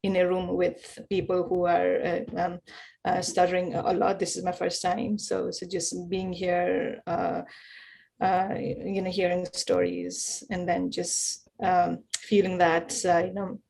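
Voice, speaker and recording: A woman, speaking haltingly with many fillers and repeated words on a video call. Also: on the low side, monotone, gravelly, a little mellow, breathy edge, low-quality recording. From Nepal. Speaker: workshop participant. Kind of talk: casual remarks in a group call.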